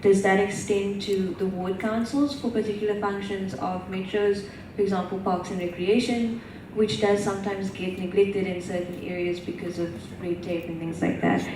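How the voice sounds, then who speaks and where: A woman's voice: mid-range voice, level tone, moderate pace. A woman student asking a long, carefully built question from the audience at a conference. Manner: careful, detailed multi-part questioning. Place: large conference hall. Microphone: distant microphone. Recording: echoey hall, distant mic, noisy.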